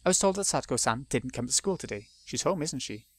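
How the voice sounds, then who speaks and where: A man's voice: medium pitch, lively intonation, fast talker, close to an everyday voice. Brief: a man reading a polite but persistent official. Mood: firm. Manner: formal, self-assured. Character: polite, persistent social worker.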